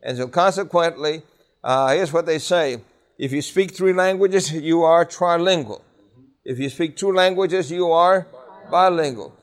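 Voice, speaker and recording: A man's voice: on the high side, measured pace. A man teaching a Bible class, conversational and emphatic, often asking the students questions. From New York City.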